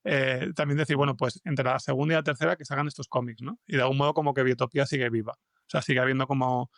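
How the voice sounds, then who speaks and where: Creaky-voiced man from a Spanish podcast interview. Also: medium pitch, fairly even intonation, fast, fairly bright tone, no room sound, studio quality. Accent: Spain Spanish. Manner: hesitant, rambling, stretching fillers and repeating words. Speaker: guest, fiction podcast scriptwriter and comics artist. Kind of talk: casual interview conversation.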